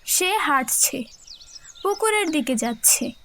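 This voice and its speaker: A woman's voice: high-pitched, animated, takes it slowly, a bit bright, slight background noise. A woman narrator telling a scripted children's cartoon story.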